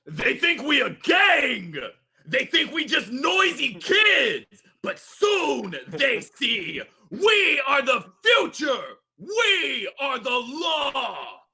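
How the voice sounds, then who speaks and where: A man's voice: extremely high pitch, very animated, very slow, bright, forceful tone, shouting, full character voice. A man voicing a huge, savage, boastful mutant gang leader in a cartoon table read, bellowing threats in broken English. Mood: rabble-rousing menace. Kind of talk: live table read of animated series script.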